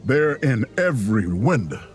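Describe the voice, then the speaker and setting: An actor playing a wary, folksy traveler who tells his story in an audio drama with a soft drawl. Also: medium pitch, big pitch swings, faint music behind. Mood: tense warning. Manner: slow, suspenseful first-person narration. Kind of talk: audio drama narration and dialogue.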